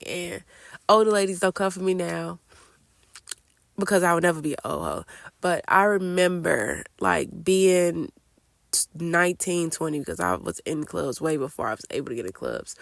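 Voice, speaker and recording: A woman's voice: on the low side, creaky, dry recording, clean studio sound. A woman hosting a casual solo podcast, sounding congested from a cold and chatting candidly with lots of fillers. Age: late 20s. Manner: chatty and candid, many fillers like "like" and "I don't know". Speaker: podcast host.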